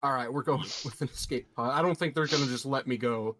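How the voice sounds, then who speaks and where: A man's voice: medium pitch, brisk, a bit bright. A man playing a tabletop role-playing game, casually describing his character's actions and chatting. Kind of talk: casual in-game narration and chat.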